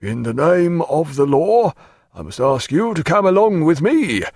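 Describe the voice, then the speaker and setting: A man's voice: on the high side, sing-song, measured pace, gravelly, darkish tone, breathy edge, no echo, clean studio sound, voice pushed up, heavily acted. A man doing an oily, polite bailiff. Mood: soothing. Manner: polite, soothing, officious. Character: smooth officious bailiff, man.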